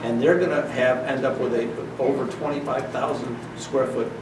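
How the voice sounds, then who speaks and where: Man, meeting chair, hesitant delivery, mid pitch, distant mic, echoey room, background noise. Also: steady tone, moderate pace. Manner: hesitant, lots of fillers and restarts.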